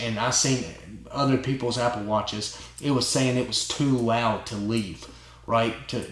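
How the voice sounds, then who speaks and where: A man hosting his own channel tells a long story in a casual, excited way, rambling and often tagging lines with "right?" and "man". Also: mid-range voice. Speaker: channel host. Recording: roomy echo.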